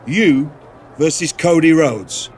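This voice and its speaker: An actor playing an older male general manager in a video game, speaking formally and in measured phrases. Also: on the high side, a little hiss or hum.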